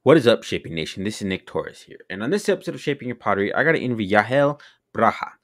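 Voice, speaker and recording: A man's voice: fairly low pitch, big pitch swings, fast. A young man hosting a pottery podcast, upbeat and friendly as he opens the show and asks questions. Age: young adult. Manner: upbeat, friendly intro patter. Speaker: podcast host. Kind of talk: casual podcast interview. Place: small indoor room. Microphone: large studio mic on boom arm.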